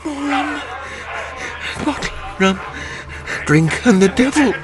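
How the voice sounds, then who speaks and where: An actor playing a drunken old pirate, raving erratically in a strained, creaky voice in a radio drama. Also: male voice, very high voice, expressive, slow, over music, heavily acted. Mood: crazed, demanding.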